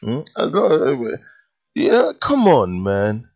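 A man's voice: medium pitch, wildly swooping pitch, takes it slowly, vocal fry, darkish tone. A man co-hosting a casual radio show, playful and teasing. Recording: dull lo-fi broadcast sound.

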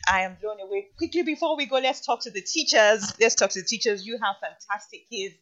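A woman's voice: medium pitch, a little fast, a bit bright. Effusive woman presenter. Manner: effusive, repeats phrases for emphasis. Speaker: radio presenter visiting a school. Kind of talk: lively on-location interview. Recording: dull lo-fi sound.

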